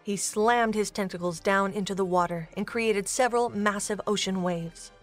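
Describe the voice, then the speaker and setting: A woman's voice: medium pitch, fairly even intonation, normal speed. Woman narrating a story over music.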